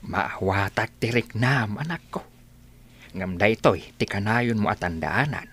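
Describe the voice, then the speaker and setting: A woman playing a gentle, worried old father, speaking slowly and earnestly with an Ilocano accent in a radio-style drama. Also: rumbling low, animated, a little hiss or hum, exaggerated voice. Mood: tender, solemn. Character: gentle, worried old father advising his grown child.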